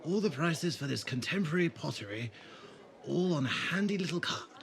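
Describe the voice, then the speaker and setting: A man's voice: fairly high pitch, animated, brisk, gravelly, slight background noise. A man playing a polite, bashful pottery seller in a fan audio drama, courteous and slightly hesitant. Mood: bashful, wistful. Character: polite, bashful market stallholder selling pottery.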